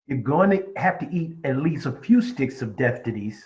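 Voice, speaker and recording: A man's voice: medium pitch, big pitch swings, normal speed, a little mellow, highs cut off, lightly acted. A man playing an easygoing, playful dad in an audio drama. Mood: wry.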